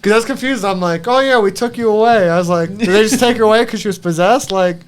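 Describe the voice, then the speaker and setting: A man's voice: high-pitched, fast, clear, resonant tone. A man co-hosting a podcast in casual, slangy, sweary conversation about films. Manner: profane, slangy. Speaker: podcast co-host. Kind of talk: casual movie chat.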